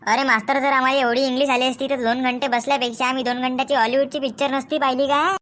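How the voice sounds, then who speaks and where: A lazy, impudent schoolboy from a comedy cartoon, acted by a woman, high in pitch and very quick with backtalk. The accent is Vidarbha Marathi, and there is noticeable noise behind the voice. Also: little pitch movement, a bit bright. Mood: cheeky. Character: cheeky, lazy schoolboy who backtalks his teacher. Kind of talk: comic cartoon dialogue.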